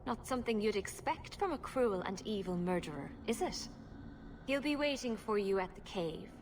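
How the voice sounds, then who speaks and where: A woman's voice: mid-range voice, expressive, normal speed, natural voice. A woman playing a guarded, reserved female elf in a video game, speaking in a cool and formal manner. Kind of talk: video game character acting. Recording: clear background ambient noise.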